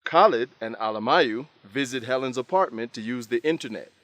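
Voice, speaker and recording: A man narrating a scripted radio drama for English learners, with deliberate, presentational phrasing. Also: mid-range voice, fairly bright tone.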